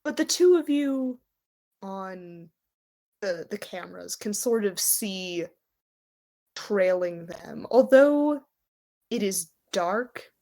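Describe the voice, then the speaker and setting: A woman's voice: medium pitch, expressive, slow pace, pauses often, dark tone. A woman game master who improvises narration for a tabletop game and explains the scene in a conversational way.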